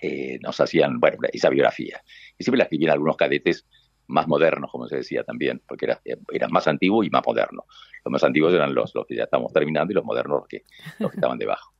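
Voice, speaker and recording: An older man with an Argentine Spanish accent who has spent a career as a radio announcer. His voice sits extremely low, with a gravelly, booming texture. The recording is dry and close, with a slightly muffled, lo-fi sound. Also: fast talker, no room sound. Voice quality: deep booming voice. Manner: warm reminiscing, acts out quoted voices. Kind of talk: conversational storytelling.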